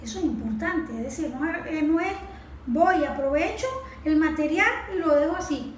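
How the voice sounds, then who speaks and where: A woman's voice: high-pitched, normal speed, slight background noise. A Venezuelan woman speaking as an interview guest, explaining in an informal, didactic way and often checking for agreement. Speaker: interview guest, environmental consultant. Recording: echoey room, distant mic, music behind.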